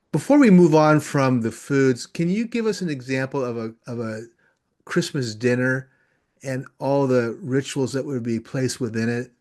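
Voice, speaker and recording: A man hosts an interview show, steering with questions and quick friendly responses. His voice sits in an ordinary middle range. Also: medium pitch, a little mellow. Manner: friendly, asks questions, short reactions. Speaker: podcast host. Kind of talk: interview hosting.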